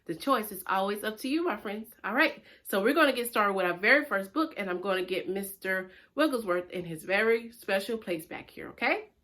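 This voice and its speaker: A woman's voice: mid-range voice, expressive, fairly quick, sharp tone. A woman hosting a preschool storytime, speaking expressively and directly to young listeners as she reads aloud. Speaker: children's librarian and storytime host.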